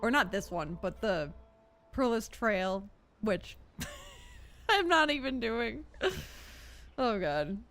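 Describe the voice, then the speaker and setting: A woman's voice: a little high, big pitch swings, slow pace, long pauses, fairly bright tone. A young woman chatting casually and reactively while streaming a game, rambling along in a giggly way. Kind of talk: casual live gameplay chat. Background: game music.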